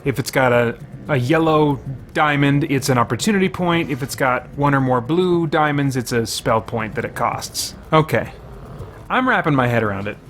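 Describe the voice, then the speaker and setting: A man's voice: medium pitch, normal speed, fairly bright tone, slight background noise. A man giving casual first-impressions commentary on a video game, conversational and thinking aloud as he goes.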